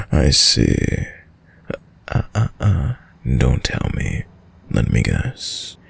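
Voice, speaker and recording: A man playing a dominant, sadistic vampire lord in an intimate audio roleplay, speaking in a deep, breathy, gravelly voice with courtly, teasing menace. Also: big pitch swings, heavily acted. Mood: condescending, amused. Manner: slow, silky, formal, teasing menace with drawn-out pauses.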